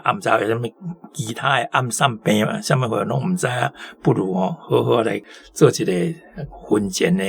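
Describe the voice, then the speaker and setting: A man's voice: lowish voice, gravelly, husky. A man with a Taiwanese accent commenting on a story in an informal, explanatory radio chat. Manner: conversational, explanatory.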